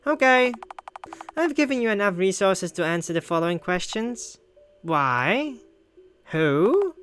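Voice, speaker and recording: A man's voice: high voice, very animated, a little slow, fairly bright tone, faint music behind, heavily acted. Man acting a theatrical, taunting game mastermind. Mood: taunting, commanding. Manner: mocking, commanding, theatrical.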